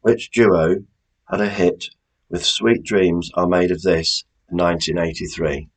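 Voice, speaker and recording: A man's voice: low voice, fairly even intonation, unhurried, rough recording. A British man presenting a quiz in a chatty, informal way, as the host reading out questions.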